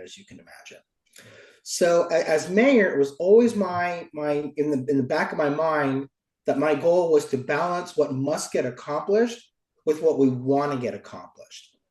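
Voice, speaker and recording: A middle-aged American man, stuffy with a cold, giving deliberate, articulate interview answers as a local politician. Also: on the high side, a little mellow. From Oakland, California, United States. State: stuffy from a cold, cough drop in mouth. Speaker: local politician, former mayor. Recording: roomy, echoing sound.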